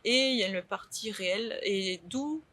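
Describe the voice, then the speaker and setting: A woman's voice: medium pitch, moderate pace, fairly bright tone, no echo. Hesitant young woman painter chatting. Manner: hesitant, many fillers and restarts. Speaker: painter, interview guest. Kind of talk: casual interview chat.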